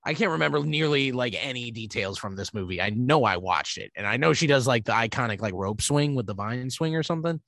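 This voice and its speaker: A man's voice: mid-range voice, expressive, brisk, gravelly, hardly any pauses, fairly bright tone, no room sound, clean studio sound. A man co-hosting a podcast, rambling through casual movie chat with lots of fillers and some swearing. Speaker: podcast co-host.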